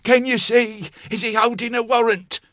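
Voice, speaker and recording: A high, fretful older woman who runs an orphanage laundry, full of wailing dread and melodrama, as a male narrator puts her on. Her voice is pitched far up and sounds close and dry, with no room around it. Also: steady tone, moderate pace, a bit bright, no echo.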